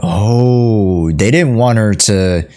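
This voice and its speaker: A man's voice: fairly low pitch, very slow, warm tone. A man streaming a game with casual reaction commentary, throwing in short interjections and echoing the dialogue. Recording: game music behind the voice.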